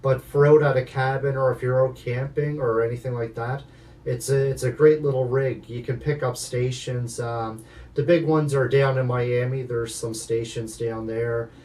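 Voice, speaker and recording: A man's voice: mid-range voice, fairly even intonation, normal speed, darkish tone, far from the mic. A man giving a casual, unscripted product review in a hesitant, rambling way. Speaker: video maker reviewing a radio. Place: inside an apartment.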